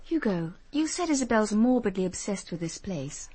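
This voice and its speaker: A woman's voice: mid-range voice, sing-song, normal speed, dark tone, husky. A woman playing a determined young female detective in a British radio drama, English accent, firm and direct. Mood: firm, challenging. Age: young adult.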